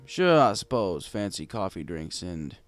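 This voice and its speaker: A man narrates a story in a slightly low voice with highly animated intonation. He speaks as a wry bartender, chatting conversationally to the listener as he reads. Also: unhurried. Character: wry, gruff bartender pony telling his tale. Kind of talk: scripted first-person narration of a fan fiction story.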